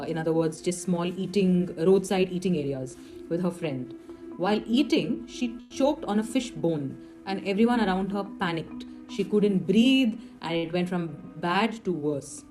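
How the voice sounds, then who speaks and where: A woman telling eerie stories in a semi-scripted, explanatory way, with rhetorical questions and dramatic emphasis. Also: on the low side. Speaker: storyteller. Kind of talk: semi-scripted horror storytelling. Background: music under the voice.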